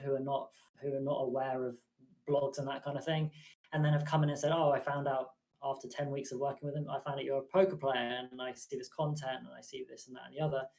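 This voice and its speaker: Flat steady guy voice, therapist vibe, thinks out loud carefully. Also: mid-range voice, poor audio. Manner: measured, thoughtful, even-toned. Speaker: psychotherapist and poker player. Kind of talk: reflective podcast discussion.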